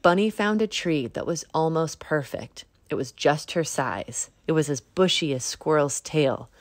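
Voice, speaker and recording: A woman narrating a children's picture book in a measured read-aloud manner. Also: low-pitched, no echo. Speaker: storybook narrator. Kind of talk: scripted children's story narration.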